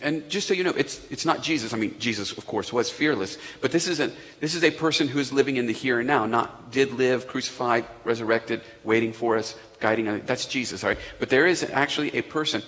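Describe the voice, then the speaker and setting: A man's voice: mid-range voice, a little fast, fairly bright tone. A man preaching a sermon to his congregation in a conversational way, with asides and questions to the room. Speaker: pastor. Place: church sanctuary. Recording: echoey church hall.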